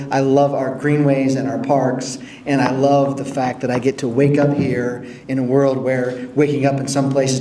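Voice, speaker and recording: A man's voice: medium pitch, mellow. A man running for town council introducing himself at a public forum in an earnest, open way. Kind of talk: candidate introduction. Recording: echoey room, distant mic, faint noise.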